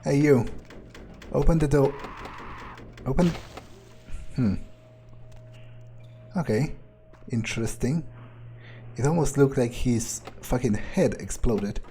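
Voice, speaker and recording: Man, dark relaxed tone, hesitant thinking-aloud roleplay, nonchalant, gaming playthrough, faint music behind. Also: mid-range voice, takes it slowly, pauses often. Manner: nonchalant, musing, hesitant phrasing. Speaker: gamer doing an in-character playthrough. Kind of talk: improvised in-character game commentary, thinking aloud.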